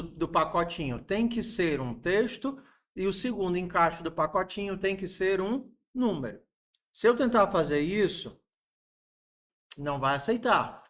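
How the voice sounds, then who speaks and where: A man's voice: high-pitched, a bit bright. A man, teaching a programming lesson and patiently asking his students rhetorical questions. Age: 40s. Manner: patient, asks the class rhetorical questions, uses diminutives. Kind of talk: coding lesson screencast.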